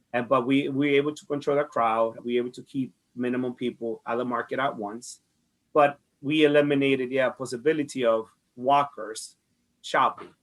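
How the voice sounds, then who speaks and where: Male market manager, Dominican accent, earnest interviewee, mid-pitched voice, conversational delivery. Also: rough recording. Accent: Dominican Spanish. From Dominican Republic. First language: Spanish. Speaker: farmers market director being interviewed.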